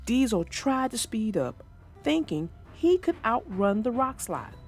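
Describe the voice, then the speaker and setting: Casting: expressive woman for children's read-aloud narration, lively and high-pitched. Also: measured pace, soft background music. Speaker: storybook narrator.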